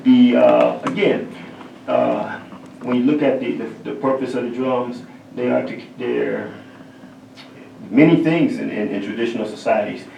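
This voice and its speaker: Gravelly, halting male drummer. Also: medium pitch, fairly even intonation, darkish tone, slight background noise. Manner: thoughtful, halting, many fillers. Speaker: drummer, guest. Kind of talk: interview answers. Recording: roomy sound from a distant mic.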